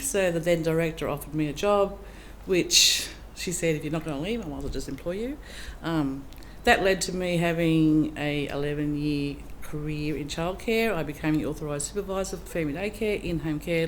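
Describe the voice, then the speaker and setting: A woman's voice: deep voice, normal speed, hardly any pauses, a little hiss or hum, poor audio. A middle-aged woman giving a chatty, plain-spoken candidate speech at a public forum. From Australia. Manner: plain, chatty, with frequent ums. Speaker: council candidate.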